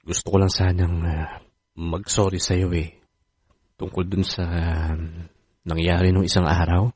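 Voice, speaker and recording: Defensive Filipino husband, dry studio sound. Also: male voice, low voice, little pitch movement, measured pace, darkish tone, husky, no room sound. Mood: contrite, hesitant. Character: defensive, easygoing husband, former ship worker. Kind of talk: Filipino radio drama acting. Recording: dry studio with music underneath.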